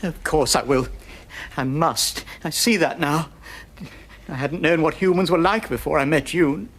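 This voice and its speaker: A man playing a gentle, timid faun in a radio theatre storybook drama, fretful and self-reproachful, with halting, theatrical delivery. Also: fairly high pitch. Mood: resolved, gentle.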